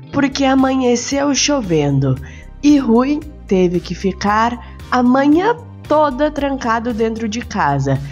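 Slow, calm woman storyteller. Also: mid-range voice. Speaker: bedtime-story podcast host. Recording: slightly muffled with soft music.

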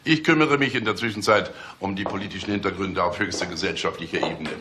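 A male actor plays a middle-aged police chief in a radio drama. His voice is fairly low, and he talks down to people with smug, teasing mock solemnity. There is faint noise behind him. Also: fast, natural voice. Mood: conspiratorial. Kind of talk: German radio play acting.

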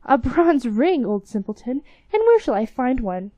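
A woman's voice: high voice, very animated, moderate pace, mellow, no echo, voice pushed up. A woman voicing a scornful young female slave in a storybook reading.

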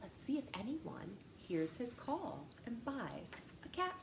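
A woman in her thirties reading a children's picture book aloud in a living room, with an animated, sing-song manner that speaks straight to young listeners. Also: mid-range voice, slow pace, creaky, reverberant, background noise. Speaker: storytime reader. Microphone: camera in front at close range.

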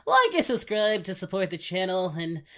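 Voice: medium pitch, big pitch swings, moderate pace, pushed and bright. A performer voicing a boastful but easily frightened cartoon anime hero, whiny and stammering, in a comedic reaction video. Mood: uneasy sign-off. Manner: whiny, frantic, stammering, prone to shrieking. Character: cartoon anime hero, jumpy scaredy-cat, boastful yet panicky.

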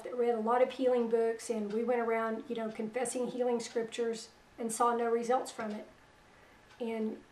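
A middle-aged woman hosting religious internet radio. Her voice is weak after a stroke, and she talks in a soft, plain-spoken, unhurried way. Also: on the high side, echoey room, distant mic, poor audio. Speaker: radio host. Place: small room at home, indoors. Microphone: headset.

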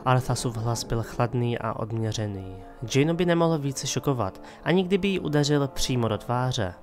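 A man's voice: mid-range voice, expressive. A man giving scripted dramatic narration of a fantasy story as the narrator of an audio drama. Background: music.

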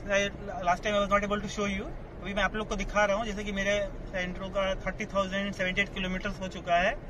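A man's voice: sits high, completely flat delivery, normal speed. A young man, a vlogger, chatting casually about his trips while driving, slipping English phrases in with hesitant fillers. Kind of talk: casual travel vlog chat. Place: inside a moving car, then outdoors at a fort. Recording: noisy road and car background.

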